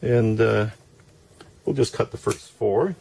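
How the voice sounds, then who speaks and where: A man giving a casual, halting, step-by-step demonstration of a hands-on job. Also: fairly low pitch, vocal fry, mellow, slight background noise. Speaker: DIY demonstrator.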